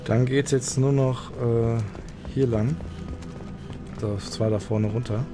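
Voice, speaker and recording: A man's voice: medium pitch, fairly even intonation, slow. A man giving casual commentary as host of a gameplay video. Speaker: let's play host. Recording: game music behind the voice. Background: video game music.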